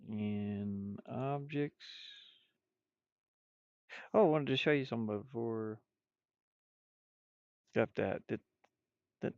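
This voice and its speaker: A man's voice: fairly low pitch, slow pace, creaky, long pauses, darkish tone, no room sound, highs cut off. A man chatting casually on a livestream while he works, rambling and half muttering to himself. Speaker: streamer, game developer. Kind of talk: casual livestream chat while drawing game art.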